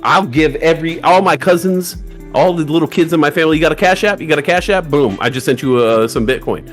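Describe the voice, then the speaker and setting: A man in his forties co-hosting a casual livestream chat, lively and acting out voices of people he quotes. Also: fast talker, soft background music. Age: 40s. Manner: animated, acts out quoted voices with big pitch jumps. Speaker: livestream co-host.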